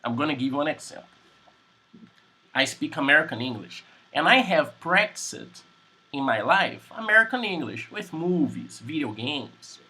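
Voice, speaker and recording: A Brazilian man with a Portuguese accent teaching English on a video call, speaking in slow, simple sentences and repeating words. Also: a little high, sing-song, bright, forceful tone, breathy edge. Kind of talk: online English class conversation.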